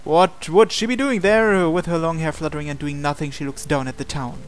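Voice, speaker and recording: A man's voice: fairly high pitch, fairly quick, a little hiss or hum. A man hosting a game playthrough, reading visual novel narration aloud expressively with casual asides.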